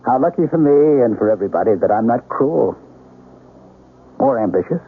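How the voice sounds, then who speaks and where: An actor playing an eerily confident young father, calm and deliberate, in old-time radio drama style. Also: mid-range voice, breathy edge. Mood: rapt conviction. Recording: vintage radio broadcast, narrow phone-like sound, faint noise.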